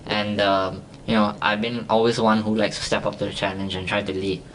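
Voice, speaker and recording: A young man hosting a podcast in a relaxed chat. His is lively, with quick bursts and easy laughter. His voice sits a little low, with a faint hum of noise behind him. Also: poor audio. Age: young adult. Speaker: podcast host.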